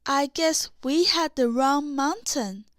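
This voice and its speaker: An earnest, soft-hearted young mountain man from a storybook, acted by a woman reader. The voice sits high and moves at a slow pace. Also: no echo, clean studio sound. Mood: sad.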